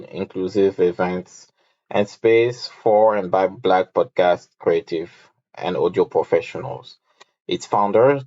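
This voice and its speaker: A man from Senegal with a very low voice talks in an easy, conversational way. He pauses and rephrases as he thinks. The recording is dry and a bit muffled. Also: mellow, no room sound. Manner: unhurried, with pauses and restarts. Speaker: podcast host and guest. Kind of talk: conversational interview answers.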